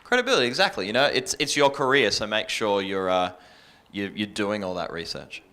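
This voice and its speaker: A man's voice: on the low side, expressive, a little fast, sharp tone. An Australian man speaking casually and bluntly as a radio industry panelist, in colloquial language.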